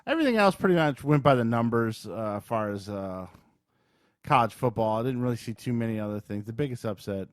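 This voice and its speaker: A man's voice: medium pitch, expressive, brisk, no room sound, clean studio sound. A man co-hosting a podcast, talking sports in an informal, opinionated, conversational way. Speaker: podcast co-host. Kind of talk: casual sports chat.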